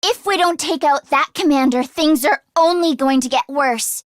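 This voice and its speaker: High-pitched, youthful tomboy girl voiced by a woman. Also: normal speed, no room sound, studio quality, lightly acted. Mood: worried, alert. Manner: casual, expressive, youthful phrasing. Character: earnest, anxious teenage girl mechanic, friendly tomboy. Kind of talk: English video game voice acting.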